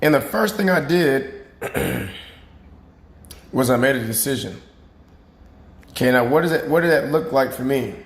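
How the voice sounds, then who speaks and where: A man with a raspy, hoarse voice, a fitness coach talking casually and earnestly to his viewers, often checking in with "right?" and "okay?". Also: medium pitch, lively intonation, unhurried, long pauses. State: hoarse after boot camp. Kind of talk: casual talk to camera. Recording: roomy recording.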